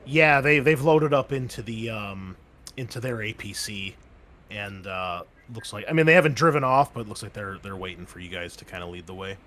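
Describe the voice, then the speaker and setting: A man narrating as game master, relaxed and full of ums and uhs. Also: fairly low pitch, normal speed. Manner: relaxed narration with many fillers.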